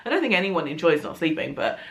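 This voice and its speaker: A woman's voice: sits low, sing-song, quick pace, creaky. Congested young woman vlogging. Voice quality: nasal. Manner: chatty, rambling, laughs now and then. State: congested, blocked nose. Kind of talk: casual chat to camera. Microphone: compact camera.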